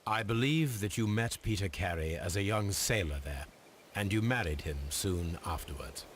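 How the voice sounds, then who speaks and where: An actor playing a composed Victorian gentleman detective in a video game, with an English accent and a measured, precise delivery. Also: deep voice, animated. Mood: assured deduction. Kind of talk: video game dramatic acting. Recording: clear background noise and ambience.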